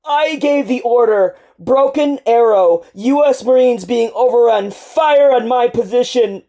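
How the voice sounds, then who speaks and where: A woman's voice: fairly high pitch, a little slow, mellow, pitched above the performer's own voice. A woman voicing a grief-stricken young male marine who is crying, his words strained and tumbling out. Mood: despairing.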